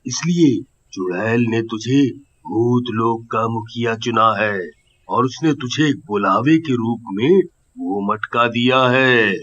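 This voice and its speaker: Man playing a slow, stern holy hermit. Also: medium pitch, a bit bright. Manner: stern, admonishing. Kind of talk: animated Hindi moral story acting. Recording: dull, noisy audio with ambience.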